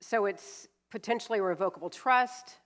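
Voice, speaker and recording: A woman's voice: mid-range voice, normal speed. A woman in her fifties from California, an attorney giving a seminar talk with a cold, explaining plainly with some fillers. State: has a cold, sniffly. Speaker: estate planning attorney, guest speaker. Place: church hall. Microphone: handheld mic.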